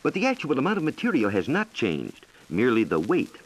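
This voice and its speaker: An American man explaining science in a measured, lecturing way, as a reporter in a scripted 1940s educational radio dialogue. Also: mid-range voice, wildly swooping pitch, low-quality recording. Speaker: science reporter and guest expert.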